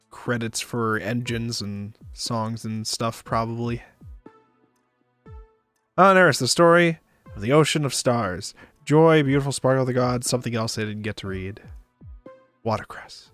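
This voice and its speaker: A man's voice: mid-range voice, a little slow, pauses often, a bit bright, faint music behind. A man hosting a casual livestream, chatting informally and swearing now and then. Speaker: streamer, host.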